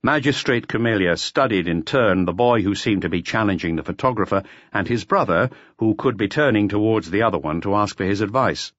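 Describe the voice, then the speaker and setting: A man's voice: fairly low pitch, fairly quick, few pauses, fairly bright tone, dry recording, lo-fi sound. A man narrating an audiobook in a scripted, dramatic storytelling style.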